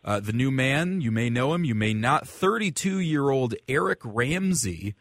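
A man's voice: mid-range voice, expressive, moderate pace, dry recording, studio quality. An American man hosting a sports radio show, setting up questions for panelists in an informal, explanatory, conversational way.

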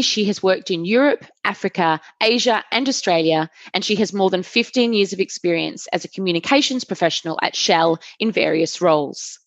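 A woman's voice: medium pitch, no echo. Effusive woman podcast host, dry sound. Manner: effusive, enthusiastic praise. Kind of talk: podcast interview with a scripted intro.

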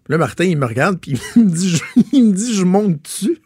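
A man's voice: sits high, fairly quick, slightly rough, no echo, studio quality. Quebec radio man, chatty and laughing. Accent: Quebec French. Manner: laughing, animated storyteller. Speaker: radio co-host. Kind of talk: casual radio banter. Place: radio studio. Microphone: studio mic.

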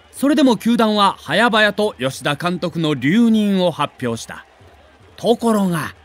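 A male narrator delivers a scripted documentary with dramatic emphasis. His pitch is high and very animated, the pace is slow, and he tells it like a storyteller.

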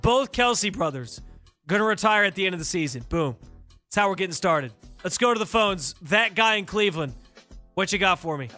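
A young man hosting casual sports talk radio, bantering quickly and poking fun at himself. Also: high-pitched, expressive, pushed and bright, faint music behind. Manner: quick, self-deprecating banter. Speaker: radio host. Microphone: studio microphone.

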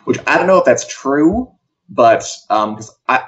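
A man's voice: medium pitch, expressive, measured pace, a bit bright. A man co-hosting a casual entertainment live show, talking in a wry, emphatic way. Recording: dull, lo-fi audio.

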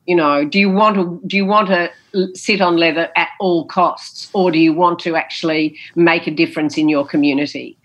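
A woman's voice: on the low side, steady tone, normal speed. A woman, a former politician, answering interview questions in an emphatic, storytelling way with a big theatrical voice, often repeating phrases and saying "you know".

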